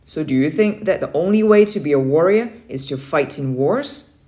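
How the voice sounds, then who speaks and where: A woman's voice: low voice, animated, normal speed, warm tone. A woman voicing a stern, motivating young Navy uncle in a children's story read-aloud, firm and emphatic. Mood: stern, instructive.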